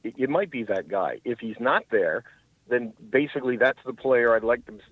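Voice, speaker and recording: A man's voice: medium pitch, animated, normal speed, breathy edge, dry recording. Older man on a phone line. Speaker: radio play-by-play commentator as call-in guest. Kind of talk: casual sports commentary. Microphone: phone call-in. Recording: muffled narrow phone line.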